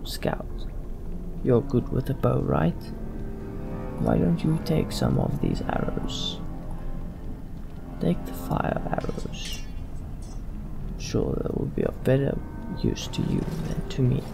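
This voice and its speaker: A man narrates a fantasy game in character, measured and slow, as if leading his party. His voice is thick with creak and moves widely in pitch, with clear game music playing behind it. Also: wildly swooping pitch. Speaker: let's play host. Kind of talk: in-character roleplay game commentary. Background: fantasy video game music.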